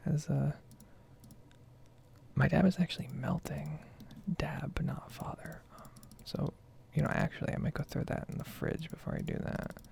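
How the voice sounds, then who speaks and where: Whispering, creaky-voiced woman doing gaming ASMR. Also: low-pitched. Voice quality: soft whispery, breathy. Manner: casual, meandering. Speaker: streamer commentating own gameplay. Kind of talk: ASMR, rambling gameplay commentary. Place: basement at home.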